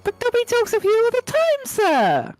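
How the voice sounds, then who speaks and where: A woman voicing a timid female house elf servant with a high, quivering squeak and broken, deferential grammar. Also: huge pitch swings, normal speed, a little mellow, soft background music, exaggerated voice. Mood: timid servile.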